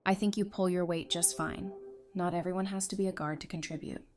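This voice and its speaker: A woman's voice: lowish voice, steady tone, brisk, a little mellow, soft background music, lightly acted. A woman as a playful, teasing young survivor. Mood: teasing, supportive.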